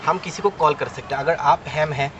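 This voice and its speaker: A middle-aged Indian-accented man with a slightly high voice explains things informally as a radio trainer. He leans on everyday examples and English terms. The recording is muffled, with clear outdoor traffic noise behind him. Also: a little fast. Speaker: ham radio volunteer and trainer. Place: outdoors at an urban portable radio station.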